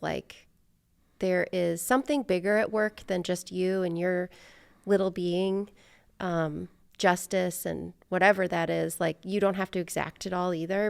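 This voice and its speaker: American woman podcast host with creaky voice. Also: lowish voice, dry recording, studio quality. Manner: rambling, self-deprecating. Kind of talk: casual reflective conversation.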